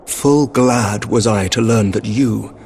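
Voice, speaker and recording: A courtly knight commander. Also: male voice, fairly low pitch, slow, a little mellow, slight background noise. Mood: gracious. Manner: formal, courtly, old-fashioned phrasing. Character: gracious adult male knight commander, noble. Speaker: game character. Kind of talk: video game cutscene dialogue.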